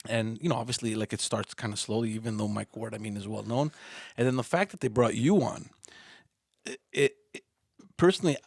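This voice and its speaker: A young man with a Montreal English accent hosting a podcast, telling stories in a chatty, animated way and laughing often. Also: on the low side, a little fast, vocal fry, dry recording, clean studio sound. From Canada. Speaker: podcast host. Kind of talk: casual interview chat.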